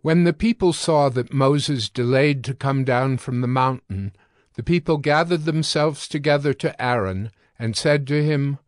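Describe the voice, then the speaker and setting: A man narrating scripture from a script in a measured, storytelling manner. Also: medium pitch, no echo, studio quality.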